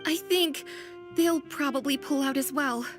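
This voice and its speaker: A woman's voice: high-pitched, a little slow, music in the background. A woman voicing an anxious, deferential young shop assistant in a video game, polite and urgent. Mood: alarmed.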